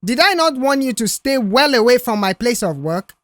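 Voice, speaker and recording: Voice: mid-range voice, lively intonation, normal speed, a bit bright, no echo, clean studio sound, pitched above the performer's own voice, natural voice. Narrator putting on an angry, indignant boss man. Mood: indignant anger. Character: Tom, angry sputtering male boss, indignant.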